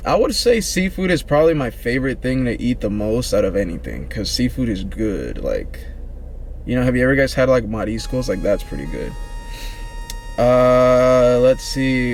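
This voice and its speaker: Young man, casual rambling vlog chat, slangy, faint music underneath. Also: mid-range voice. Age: 20s. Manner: laid-back, rambling, slangy. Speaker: vlogger. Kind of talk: casual get-to-know-me chat.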